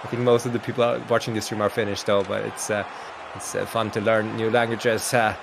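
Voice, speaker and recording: A man's voice: on the low side, level tone, fairly quick, vocal fry, noisy background. A man from Sweden, commentating an online hockey match in English and chatting with viewers. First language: Swedish. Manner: chatty, laughs often, reads out chat. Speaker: esports commentator. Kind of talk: play-by-play commentary.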